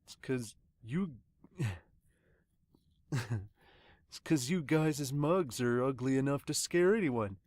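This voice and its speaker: A podcaster acts out a gruff pirate grunt from a manga dub. The warrior grumbles bluntly and teases comrades, in a dry, close recording. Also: mid-range voice, expressive, slow, long pauses, darkish tone, dry recording. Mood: grumbling, teasing. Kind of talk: amateur manga dub character acting.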